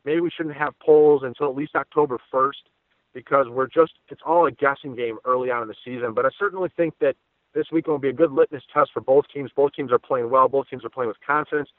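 A man with a mid-pitched voice talks quickly through sports analysis as a guest. He is talkative and conversational, heard over a dry, narrow phone-like line. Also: fairly even intonation, dry recording. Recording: tinny phone-line audio.

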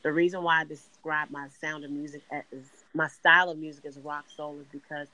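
Raspy chicago woman, guest on a podcast, pretty flat even voice, talks steady and earnest. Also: low-pitched, poor audio. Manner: measured, earnest storytelling. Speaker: interview guest, singer. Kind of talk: podcast interview.

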